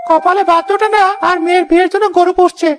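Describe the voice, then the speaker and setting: A man's voice: extremely high pitch, level tone, quick pace, noisy background. A man playing a mocking, scornful village neighbour in a cartoon, with a sneering, taunting manner. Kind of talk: cartoon fairy tale dialogue.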